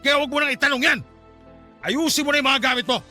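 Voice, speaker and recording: A man's voice: very high voice, fairly even intonation, fast, sharp tone, soft background music, heavily acted. A man playing an angry, betrayed boyfriend in a radio drama, cold and accusing, with a Filipino accent. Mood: angry, threatening. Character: furious betrayed adult boyfriend back from abroad. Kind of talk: Tagalog radio drama acting.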